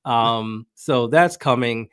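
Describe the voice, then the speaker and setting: A man's voice: mid-range voice, slow pace, a bit bright, smooth, dry recording. A man talking casually as a podcast guest, giving opinionated soccer analysis and thinking aloud as he goes. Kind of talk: casual sports analysis in conversation.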